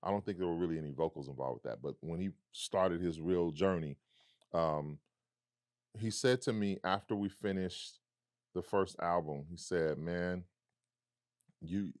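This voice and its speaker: Man with a deep baritone, dry sound. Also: unhurried, a little mellow, dry recording. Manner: reflective, storytelling with quoted dialogue. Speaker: musician guest. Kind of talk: casual conversation.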